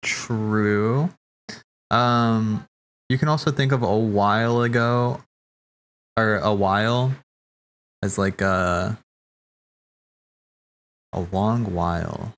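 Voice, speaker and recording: A man streaming casual live commentary, thinking aloud in a halting way and repeating phrases as he reasons. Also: fairly low pitch, steady tone, fairly bright tone, a little hiss or hum. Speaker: streamer hosting a cutscene watch party. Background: game music behind the voice.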